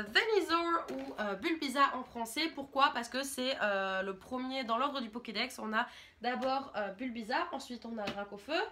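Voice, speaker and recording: French girl in her 20s rambling on camera about her hobby, kinda high voice, bright and sharp. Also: slight background noise. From France. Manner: chatty, rambling, enthusiastic. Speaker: YouTuber. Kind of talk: casual vlog monologue.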